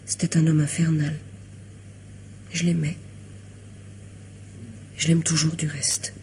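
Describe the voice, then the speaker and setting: An actress in a French radio mystery drama playing a weary, lovelorn young woman, wistful and hesitant, confessing in a hushed way. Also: low-pitched, level tone, takes it slowly, slight background noise. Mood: lovelorn, resigned.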